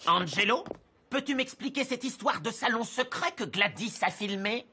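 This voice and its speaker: A woman's voice: fairly high pitch, lively intonation, normal speed, sharp tone, slightly rough, heavily acted. A woman playing a strict, suspicious school official in a cartoon dub, with a stern, clipped, scolding delivery. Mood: interrogating. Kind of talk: animated cartoon dubbing in French.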